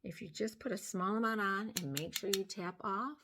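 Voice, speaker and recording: An older woman with a slightly hoarse voice, gently talking through a makeup tutorial step by step, filmed close on a phone at home. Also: medium pitch, moderate pace, slight background noise. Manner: gentle, step-by-step explaining while applying makeup. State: hoarse from sinus trouble. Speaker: beauty vlogger. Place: small room at home, indoors. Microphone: phone camera close up.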